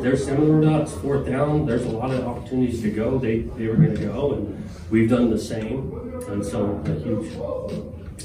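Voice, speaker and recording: A middle-aged man, a head football coach, answering postgame press questions in a measured, plainspoken way with frequent fillers. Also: mid-range voice. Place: press conference room with a logo backdrop. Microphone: podium microphone, filmed from a far camera. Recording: roomy, distant, with room noise.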